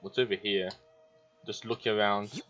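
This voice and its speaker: Low-voiced man giving casual game commentary. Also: steady tone, moderate pace, fairly bright tone. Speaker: gamer playing and commenting. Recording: dull audio with game music. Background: game music.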